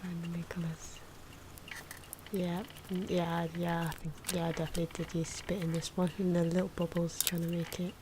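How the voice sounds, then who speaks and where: A soft-spoken woman giving casual ASMR commentary on drawing, often slipping into whispers, in an unhurried, rambling and playful way. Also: fairly low pitch, level tone. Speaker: host of an ASMR drawing channel. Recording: clear background noise from drawing sounds. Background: pen and marker scratching.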